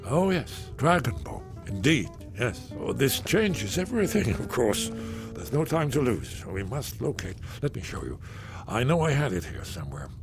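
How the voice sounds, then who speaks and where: An actor voicing an elderly, excitable male loremaster in a video game, rambling theatrically as he explains. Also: mid-range voice, normal speed, vocal fry, music in the background. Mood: excited, delighted, then musing.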